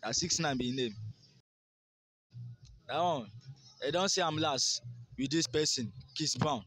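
A man's voice: a little high, a little slow, a bit bright, dry recording. A young man with a Nigerian accent, answering a casual street interview in a rambling, boastful way. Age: young adult. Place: outdoors by a garden wall. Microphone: phone held near the mouth by interviewer.

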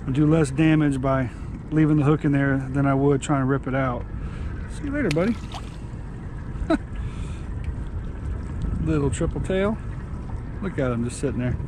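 A man's voice: mid-range voice, moderate pace, pauses often. A man in his forties hosting a fishing vlog from a small open boat offshore, chatting easygoing to the viewers and to the fish he lets go. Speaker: fishing channel host. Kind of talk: casual fishing vlog narration. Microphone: action camera on the boat. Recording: action camera with wind and water noise. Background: wind and water noise.

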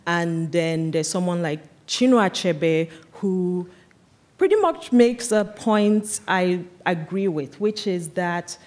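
Thoughtful lower-voiced woman explaining on stage. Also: takes it slowly, a little mellow. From Nigeria. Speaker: guest artist. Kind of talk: onstage conversation before an audience. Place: large auditorium stage. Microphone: stand microphone.

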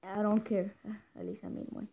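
A woman chatting casually while playing a pool game, excitable and quick to squeal and cheer at shots. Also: mid-range voice, vocal fry. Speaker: gameplay co-player. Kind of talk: casual chat over a game.

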